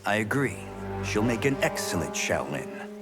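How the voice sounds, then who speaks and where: An actor playing an eager, respectful young monk pupil in a fighting video game, sincere in manner. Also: male voice, fairly low pitch, sing-song, moderate pace, over loud music.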